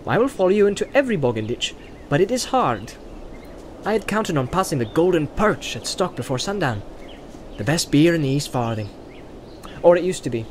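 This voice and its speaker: A man voicing an eager, cheeky young male hobbit in an audiobook, talking quickly in a raised, lively voice. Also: on the high side, a little hiss or hum, voice pushed up. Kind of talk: audiobook character voice.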